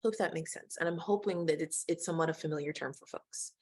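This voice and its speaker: Middle-aged American woman, lowish voice, measured webinar presenter. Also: a little mellow, slightly rough. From United States. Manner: measured, inclusive, addresses audience directly.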